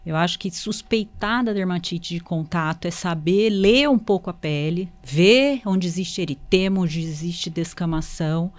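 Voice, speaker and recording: Brazilian woman doctor guest, lowish voice, very dry sound, explaining stuff and giving advice conversationally. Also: moderate pace, hardly any pauses, no room sound. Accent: Brazilian Portuguese. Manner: instructive, often checks in with short tag questions. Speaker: guest, dermatologist.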